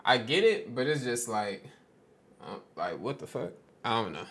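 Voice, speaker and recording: A man's voice: mid-range voice, big pitch swings, moderate pace, fairly bright tone. A young man hosting a reaction video, talking bluntly in slang and swearing freely as he reacts. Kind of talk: casual reaction commentary.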